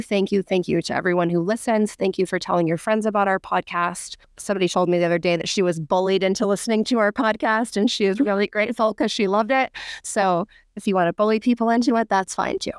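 A woman's voice: medium pitch, dry recording, clean studio sound. A woman co-hosting a podcast, chatting casually and upbeat, her voice a little off from a cold. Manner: upbeat, grateful, chatty with fillers. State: a bit sick, voice slightly off. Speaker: podcast co-host.

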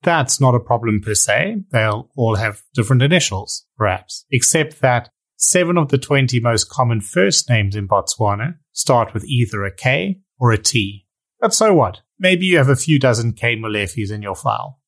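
A South African man hosting a podcast, with a voice of middling pitch. He lays out his points in a measured, explanatory way, like someone walking the listener through a story. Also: expressive, a bit bright. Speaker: podcast host. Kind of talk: scripted intro and interview questions.

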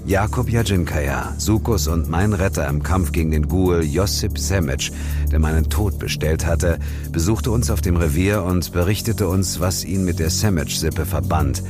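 Male trailer narrator, low voice, scripted delivery over prominent music. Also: normal speed. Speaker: first-person narrator of a trailer. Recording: loud music behind.

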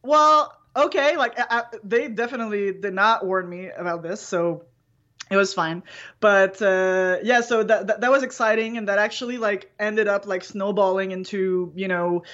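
A woman's voice: medium pitch, steady tone, moderate pace, fairly bright tone, muffled recording. A young woman with a French Canadian accent, chatting hesitantly with many fillers as a guest on a video call. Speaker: guest caller, talent show winner. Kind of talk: casual chat on a video call.

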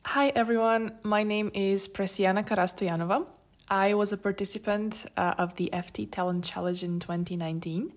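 A woman's voice: medium pitch, steady tone, moderate pace. A woman from Bulgaria, a consultant, politely putting a prepared question.

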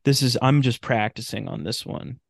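A man's voice: mid-range voice, normal speed, gravelly, no echo. Blunt, emphatic man, dry close sound. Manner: blunt, emphatic, slangy and profane, repeats phrases. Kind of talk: casual podcast conversation.

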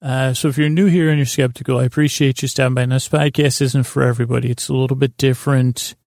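A man with a creaky, gravelly voice, rambling gently and hesitantly as the friendly, goofy host of a bedtime podcast. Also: mid-range voice, fairly even intonation, quick pace, no echo, clean studio sound. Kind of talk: rambling, meandering bedtime monologue.

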